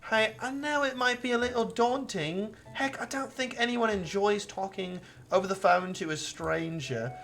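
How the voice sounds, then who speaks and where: Woman reading a young male game protagonist, kind, encouraging, bright tone. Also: medium pitch, normal speed, voice pushed up, lightly acted. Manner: gently encouraging.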